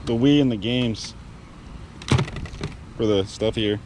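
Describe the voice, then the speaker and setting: A man's voice: medium pitch, slow. A man chatting informally and narrating as he shops outdoors at garage sales, in a folksy way. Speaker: host, garage sale reseller. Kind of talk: casual chat and narration while shopping. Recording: loud outdoor street and vehicle noise.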